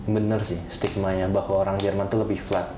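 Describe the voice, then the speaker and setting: A young man with an Indonesian accent chatting as a podcast guest, explaining in a measured way and pausing to find words. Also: low voice, flat delivery. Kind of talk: casual interview chat about studying abroad. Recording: roomy echo, clear background noise.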